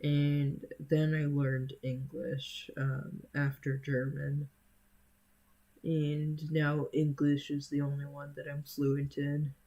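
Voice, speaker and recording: A young adult streamer, a native Spanish speaker from the United States, chatting casually with viewers in an unhurried, hesitant way with many fillers. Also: mid-range voice, completely flat delivery, dark tone, muffled recording.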